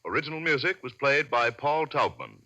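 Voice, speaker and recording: Animated vintage radio announcer, male. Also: mid-range voice, fairly quick, dull audio. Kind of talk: 1940s scripted radio announcing.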